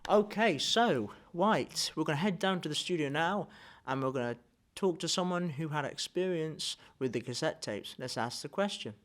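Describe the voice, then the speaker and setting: A man's voice: a little high, animated, moderate pace, hardly any pauses. A young man presenting a news-style history video in an enthusiastic, informal, exclamatory way. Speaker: student presenter. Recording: music behind the voice.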